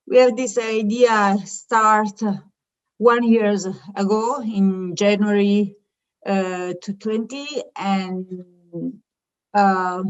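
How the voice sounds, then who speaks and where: A middle-aged woman gives an informal business presentation in English, haltingly searching for words. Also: mid-range voice, muffled recording. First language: not English. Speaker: childcare founder presenting her business.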